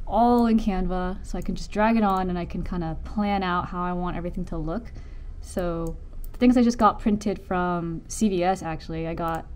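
A woman's voice: mid-range voice, darkish tone. Young woman chatting casually. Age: young adult. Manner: informal, rambling. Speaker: vlogger and convention artist. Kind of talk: casual vlog chat.

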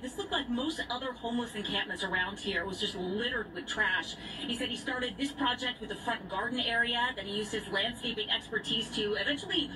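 A woman's voice: fairly high pitch, background noise. A woman reporter delivering a scripted local news story. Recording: TV audio played back from a distance.

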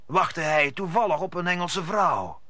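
An actor playing a grave, authoritative captain of musketeers in an audio drama. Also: male voice, fairly high pitch, animated, a little slow, bright, forceful tone, no echo. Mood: formal, probing.

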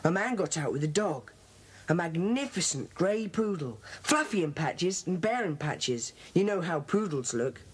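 Husky, bossy English schoolboy leader. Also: female voice, fairly low pitch, expressive, exaggerated voice. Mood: puzzled, intrigued. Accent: British. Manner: brisk, authoritative. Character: schoolboy Peter, brisk bossy club leader. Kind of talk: children's audio drama acting.

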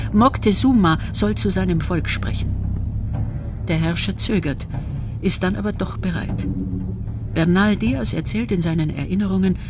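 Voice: medium pitch, background noise. A narrator reading a scripted historical documentary.

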